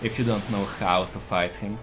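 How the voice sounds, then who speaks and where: Male speedrunner with a Polish accent and a slightly low voice; calmly explains tactics over game music. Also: normal speed, rough recording. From Poland. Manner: explains tactics plainly while playing. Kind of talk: live gameplay commentary.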